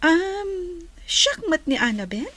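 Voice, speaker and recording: A woman with an Ilocano accent playing a sophisticated, assertive lady resort owner in a comedy radio drama, quick to question. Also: very high-pitched, big pitch swings, fairly bright tone, no room sound, exaggerated voice. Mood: poised, curious. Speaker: voice actor.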